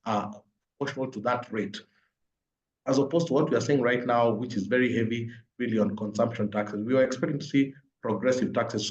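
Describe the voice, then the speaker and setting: A man's voice: medium pitch, darkish tone. Kenyan man analysing, echoey dull recording. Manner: explanatory, builds points in numbered lists and repetition. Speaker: panelist, economic analyst. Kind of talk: spoken analysis at a virtual forum. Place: indoors, online call. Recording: roomy echo, dull lo-fi online call.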